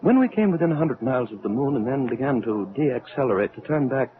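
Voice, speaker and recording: Through a narrow, vintage radio sound comes a man playing an elderly scientist aboard a spaceship, grave and troubled. His delivery is halting and theatrical, with strongly varied intonation at a medium pitch. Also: expressive, soft background music, natural voice. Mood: earnest. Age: older. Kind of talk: old-time radio drama acting. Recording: band-limited vintage radio recording.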